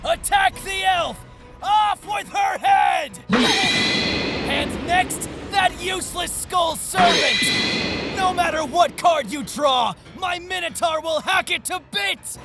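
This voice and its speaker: An actor playing an arrogant, gloating young male card-game villain in an anime-style audio drama, theatrical and taunting, swinging from sly murmurs to shouts. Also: very high voice, slow pace, loud music behind the voice, heavily acted. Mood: gloating, battle fury.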